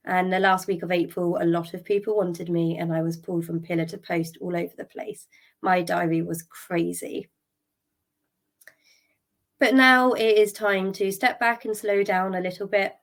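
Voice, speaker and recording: A middle-aged woman, hosting a solo podcast, a little croaky from a cold, talking openly and a bit wearily, with ums and restarts. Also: on the low side, fairly even intonation, normal speed. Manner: open and candid, thinking aloud, with ums and restarts; tired and drained. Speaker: podcast host. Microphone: podcast microphone.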